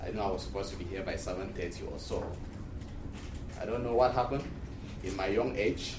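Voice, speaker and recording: Cameroonian priest teaching in an echoey, noisy room. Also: male voice, sits low, fairly quick. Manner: repeats phrases for emphasis. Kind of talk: religious class lecture. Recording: distant mic, echoey room, loud noise.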